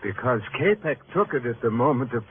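An actor playing a smug, pretentious freelance photographer with florid, insinuating phrasing in a 1950s radio crime drama. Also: male voice, medium pitch, animated, brisk, phone-quality sound, lightly acted. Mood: boastful. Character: pretentious, insinuating male freelance news photographer, smugly devoted to perfection. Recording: noisy, band-limited old radio recording.

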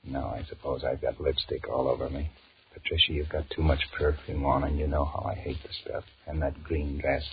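A man's voice: rumbling low, little pitch movement, brisk. An actor in a 1940s radio drama playing a weary, restless married businessman who grumbles and wheedles as he flirts with his secretary. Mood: flirtatious, grumbling. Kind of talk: 1940s radio drama acting, inner monologue and dialogue. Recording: muffled, hissy 1940s radio recording.

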